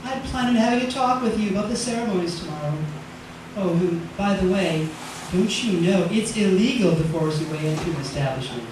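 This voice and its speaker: A man's voice: high-pitched, lively intonation, a little fast. A man playing a smug, menacingly genial proprietor in an old-time radio drama, knowing and mock-polite. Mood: sly, genial. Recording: very echoey, distant, noisy.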